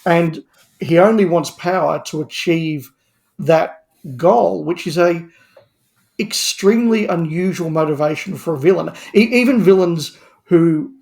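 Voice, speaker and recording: A man's voice: a little high, a little slow, creaky, darkish tone. A man discussing audio drama on a podcast, speaking emphatically and repeating words as he builds his argument. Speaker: podcast panelist.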